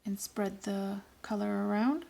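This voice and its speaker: A woman with a raspy voice today, giving loose, rambling, self-correcting instructions in a casual watercolour tutorial. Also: mid-range voice, unhurried, warm tone. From Canada. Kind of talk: casual painting tutorial voice-over.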